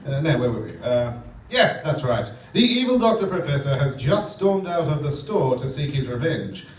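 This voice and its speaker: A man's voice: a little high, big pitch swings, moderate pace, a little hiss or hum. A man playing an announcer in a comic stage radio serial, narrating in parody melodramatic style. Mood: chatty, storytelling. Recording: echoey theatre, distant mic, loud music.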